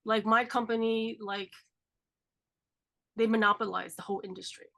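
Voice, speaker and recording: A woman's voice: a little high, fairly even intonation, moderate pace, vocal fry, pushed and bright. A woman in her forties hosting a podcast, chatty and filler-heavy, sounding nasal from a cold. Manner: chatty, lots of "like" and "um". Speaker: podcast host. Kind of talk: casual chat.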